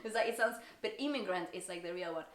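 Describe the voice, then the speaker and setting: A woman's voice: lowish voice, lively intonation, fast talker, a crowd in the background. A woman, chatting as a comedian guest on a podcast and laughing often in a rambling, self-mocking way. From Argentina. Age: 30s. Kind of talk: casual podcast chat. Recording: echoey room.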